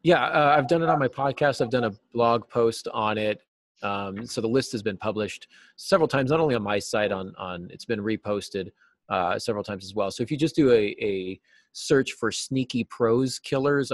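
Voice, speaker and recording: Middle-aged American male guest, lower register, explains things informally and haltingly, little room sound. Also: no room sound. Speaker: interview guest, novelist and writing teacher. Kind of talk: casual interview answers. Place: small cluttered office, on a video call. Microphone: video call.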